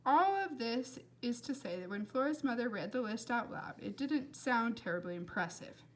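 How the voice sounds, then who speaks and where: A woman reading a storybook aloud as narrator in an expressive style. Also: on the high side, moderate pace, slight background noise. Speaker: storybook reader.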